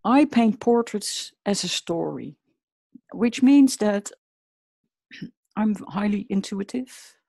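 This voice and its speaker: A woman's voice: mid-range voice, lively intonation, takes it slowly, gravelly, long pauses, mellow, slightly rough, no room sound, studio quality. A woman from the Netherlands with a Dutch accent, talking earnestly and reflectively as a podcast guest. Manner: earnest, reflective, slightly non-native phrasing. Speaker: guest artist. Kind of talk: podcast interview.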